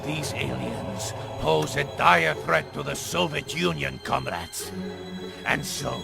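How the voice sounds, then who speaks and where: An actor playing a scornful Soviet spymaster in a video game, speaking gravely and deliberately. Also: male voice, a little high, exaggerated voice. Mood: grave, menacing. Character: menacing, scornful Soviet spymaster briefing his comrades. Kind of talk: video game cutscene acting. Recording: dramatic music under the voice. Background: loud music.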